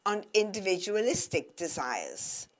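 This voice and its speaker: Female interpreter, mid-pitched, steady careful relay of a formal talk. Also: unhurried. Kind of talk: simultaneous interpretation.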